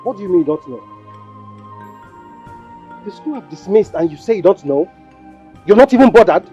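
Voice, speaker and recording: An actor playing a stern, protective husband with a Nigerian accent, reproachful and exasperated, repeating himself for emphasis in film drama style. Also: male voice, high-pitched, very animated, normal speed, dark tone, muffled recording, soft background music. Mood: accusing. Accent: Nigerian English. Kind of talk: Nollywood film drama acting.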